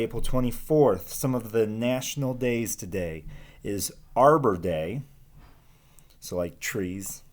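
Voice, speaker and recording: A man speaking casually as a teacher to children, pausing between short phrases. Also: lowish voice, darkish tone. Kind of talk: casual talk and children's read-aloud.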